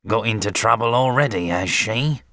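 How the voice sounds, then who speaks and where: A man's voice: on the low side, normal speed, bright, forceful tone, no room sound, pitched above the performer's own voice. A man voicing a rude, curt middle-aged father in an audiobook, brusque and dismissive.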